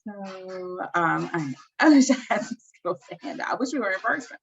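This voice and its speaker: A woman's voice: mid-range voice, expressive, brisk, gravelly. A woman hosting an online workshop over a video call, chatty and upbeat with frequent ums and likes. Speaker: workshop host. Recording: slightly dull video call audio with faint noise.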